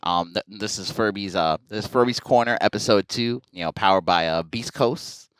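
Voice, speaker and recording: A man hosting a casual interview podcast, speaking in a halting, slangy way and often repeating his words. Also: fairly low pitch, a bit bright, smooth, dry recording. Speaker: podcast host.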